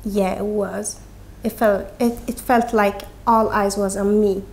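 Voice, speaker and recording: A young woman from Sweden, talking candidly as an interview guest, pausing often as she searches for words. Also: medium pitch, little pitch movement, darkish tone, a little hiss or hum. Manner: halting, with frequent pauses and "like". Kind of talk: candid personal conversation.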